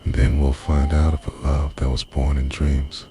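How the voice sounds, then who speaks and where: A man narrates a scripted romantic ASMR roleplay in a hushed, breathy, confessional voice that often slips into a whisper. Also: extremely low pitch, level tone, normal speed, background noise.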